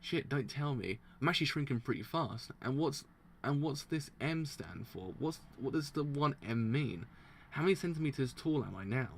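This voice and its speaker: A young gunman caught shrinking mutters and curses to himself in a frantic rush, as a man reads him aloud. His voice sits at an ordinary middle pitch. A faint hum of noise lies underneath. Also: animated, moderate pace, gravelly. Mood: alarmed. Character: young male gunman, shrinking, panicky but tough.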